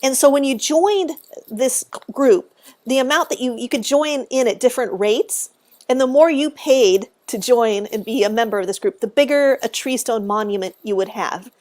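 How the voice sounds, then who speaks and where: Bubbly high-voiced woman from texas, chatty, on a video call stream. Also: normal speed, darkish tone. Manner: exuberant, chatty. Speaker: guest speaker on cemetery history. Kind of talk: live-stream interview, casual explaining.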